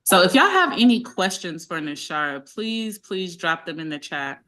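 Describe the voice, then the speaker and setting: A woman with a low yet bright, forceful voice hosts a live-stream chat over a video call. She talks casually and at length, steering the conversation and keeping things moving. Her delivery is loose and conversational. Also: very animated, normal speed. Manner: chatty, sorting out connection problems aloud. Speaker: livestream host.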